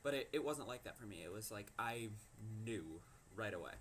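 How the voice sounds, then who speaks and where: A fifteen-year-old boy from Minnesota with a low voice, telling a personal story to camera and hesitating often with ums and likes. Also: animated, moderate pace, fairly bright tone, slight background noise. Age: teens. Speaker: teen vlogger.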